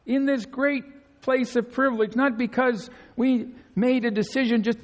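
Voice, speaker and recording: Earnest preacher in a reverberant hall. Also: male voice, fairly even intonation, moderate pace. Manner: earnest, emphatic, voice climbs high on key phrases. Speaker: pastor. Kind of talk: sermon.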